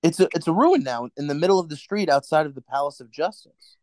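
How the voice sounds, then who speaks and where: A man's voice: fairly high pitch, expressive, fast, a little mellow, no room sound, lightly acted. An actor playing a nervous, weary man of thirty-nine in a radio play, hesitant and earnest. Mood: curious, hesitant. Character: nervous, weary thirty-nine-year-old rebel clerk.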